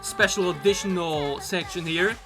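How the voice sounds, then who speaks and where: A man giving casual reaction commentary as a video host, excitable and rambling, often repeating his phrases. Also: high voice, moderate pace, low-quality recording. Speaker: host of a K-pop reaction channel. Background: pop music from the video being watched.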